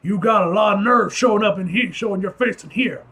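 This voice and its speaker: Man acting cocky male comic-book thug, loud, confrontational, raised husky voice, rough slangy delivery. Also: high voice, fairly quick, heavily acted. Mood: belligerent.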